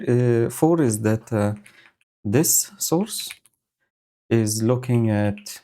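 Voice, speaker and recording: A man with a low, mellow voice talks through his code for a live stream. He speaks slowly and pauses often, filling the gaps with ums and uhs as he thinks aloud. He is recorded on a home mic with a noise gate. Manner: slow, thinking aloud with many fillers. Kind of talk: casual live-coding commentary. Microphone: new home audio setup with noise gate.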